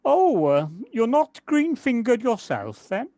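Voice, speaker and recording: An actor playing a kindly, modest gardener in an audio drama, hesitant and polite with slight stammers. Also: male voice, very high-pitched, sing-song, slow pace, dark tone, no room sound, full character voice. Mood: gentle, modest. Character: kindly, hesitant village gardener, Alan, modest and nature-loving. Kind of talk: amateur audio drama acting.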